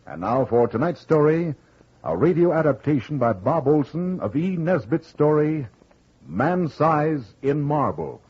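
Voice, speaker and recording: A man announcing an old-time radio show in a theatrical, emphatic way, with a husky voice. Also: on the high side, sing-song, a little slow. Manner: dramatic, emphatic. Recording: dull vintage radio broadcast with music.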